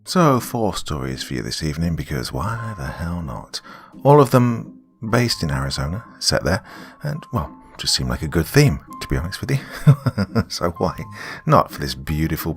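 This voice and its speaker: A man who narrates horror stories and hosts, speaking as a storyteller. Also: deep voice. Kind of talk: dramatic first-person story narration and casual sign-off.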